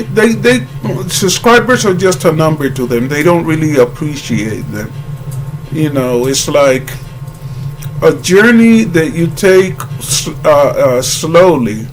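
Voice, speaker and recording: Guy rambling to his viewers, keeps restarting, kinda high voice, faint hiss behind. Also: poor audio. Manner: earnest and warmly greeting. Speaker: YouTuber. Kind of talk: casual monologue to viewers.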